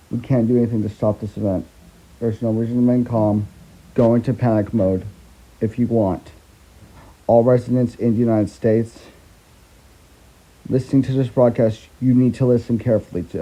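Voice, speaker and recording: A man playing a grave president in an amateur emergency broadcast drama, halting and repeating his phrases. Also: fairly even intonation, mellow, lightly acted. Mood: grave, resigned. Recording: dull, muffled low-fi broadcast audio.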